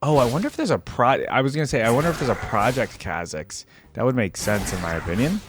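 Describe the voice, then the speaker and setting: Animated man giving casual reaction commentary. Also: mid-range voice, fast, background noise. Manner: informal, exclamatory, thinking aloud. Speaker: video game reaction host.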